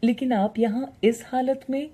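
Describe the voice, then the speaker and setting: A woman's voice: on the high side, fairly even intonation, measured pace, warm tone, slightly rough. A woman playing a grateful young male doctor in a story, speaking politely and with care. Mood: grateful, concerned. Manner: polite, caring.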